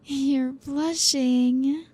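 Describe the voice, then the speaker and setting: A woman voicing a possessive, seductive female vampire in an ASMR roleplay, speaking softly and slowly as she dotes and commands. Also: high voice, steady tone. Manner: slow, soft, doting and controlling.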